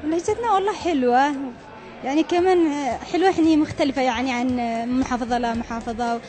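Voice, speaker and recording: Eager high woman. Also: quick pace, darkish tone. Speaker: passerby interviewee.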